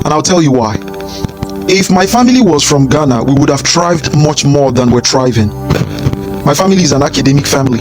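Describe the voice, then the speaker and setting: A man's voice: a little high, poor audio. A man from Nigeria giving an emphatic, insistent opinion monologue in a played clip.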